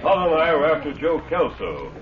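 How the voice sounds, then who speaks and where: A man's voice: fairly high pitch, huge pitch swings, moderate pace, a crowd in the background. An actor playing a heroic, resolute masked lawman in a 1950s radio western. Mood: calm, assured. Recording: dull 1950s radio recording.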